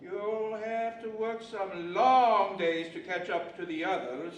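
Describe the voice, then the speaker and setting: A man's voice: sits high, slow pace. An older man playing an imperious djinn, boss of the deserts, pompous and scolding in a radio theater style. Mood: stern, scolding. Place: large library event room, live stage. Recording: distant, echoey live hall with faint noise.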